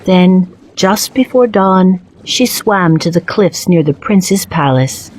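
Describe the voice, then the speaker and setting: A woman storyteller giving a measured, scripted narration of a children's story. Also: fairly low pitch, lively intonation, rough recording. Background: soft music.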